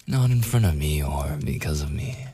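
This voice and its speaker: A man playing a gruff, protective werewolf with a secretly tender side in a boyfriend ASMR roleplay, his husky, gravelly voice often dropping toward a whisper as he gives grudging commands. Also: very deep voice, animated, mellow, heavily acted. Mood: protective, soothing. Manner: slow, grudging, possessive, commanding. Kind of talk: boyfriend ASMR roleplay, intimate scripted acting.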